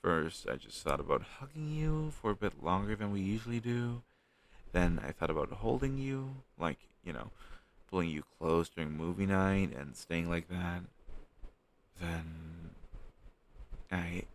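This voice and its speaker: A young man playing a shy, lovestruck best friend in an intimate romantic audio roleplay, speaking in a halting, tender and teasing way. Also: sits low, expressive. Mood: tender, nervous.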